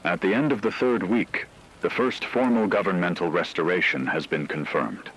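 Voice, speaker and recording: A man playing a grave radio news broadcaster, reading a scripted bulletin in a solemn, measured and formal way. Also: low voice, fairly bright tone, slightly rough, heavily acted, poor audio. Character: grave male radio broadcaster reporting societal collapse.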